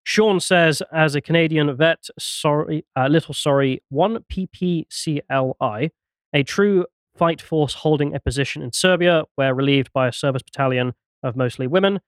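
A man's voice: a little high, moderate pace, smooth, dry recording. A man hosting a casual panel chat and reading out viewer messages.

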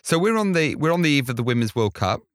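A man's voice: fairly high pitch, big pitch swings, fast, no echo, studio quality. A man hosting a podcast, introducing and interviewing a guest in a conversational, articulate way. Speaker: podcast host.

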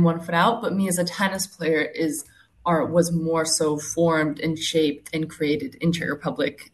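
A woman in her twenties giving candid, conversational interview answers as an athlete guest, reflecting and thinking aloud. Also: sits low. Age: 20s. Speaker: professional tennis player, podcast guest.

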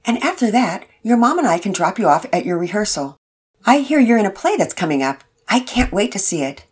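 A woman's voice: mid-range voice, very animated, a little fast, breathy edge, voice pushed up. A woman voicing a middle-aged father who talks hesitantly and apologetically. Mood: friendly. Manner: hesitant, warm.

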